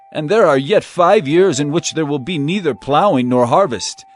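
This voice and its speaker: A man's voice: high-pitched, heavily acted. Joseph, a man voicing an earnest Egyptian ruler. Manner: earnest, pleading. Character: Joseph, young adult man, emotional ruler. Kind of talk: dramatized scripture reading.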